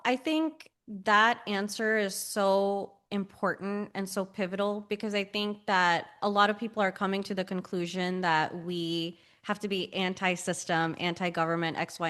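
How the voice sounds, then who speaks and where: A woman hosting an interview, earnest and warm toward her guest, with many fillers. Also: lowish voice, fairly even intonation, moderate pace, hardly any pauses. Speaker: podcast host.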